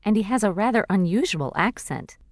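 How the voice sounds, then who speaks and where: An actress playing a cheerful young female university student in a scripted textbook dialogue, speaking clearly and expressively. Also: medium pitch, lively intonation, a little fast, dry recording, studio quality, lightly acted. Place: recording studio.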